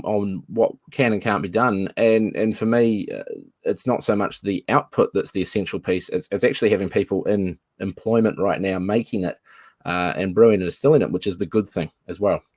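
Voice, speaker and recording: A man's voice: lowish voice, normal speed, darkish tone, no echo, clean studio sound. A man from New Zealand, a guest in a casual video-call chat, thoughtful and given to restarting phrases.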